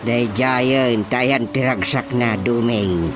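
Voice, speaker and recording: A man's voice: mid-range voice, slow pace, lightly acted. An actor playing an exasperated, gossiping village man in a comedic radio drama, full of exclamations. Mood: grumbling gossip. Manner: exclamatory, repeated interjections. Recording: music bed behind voices.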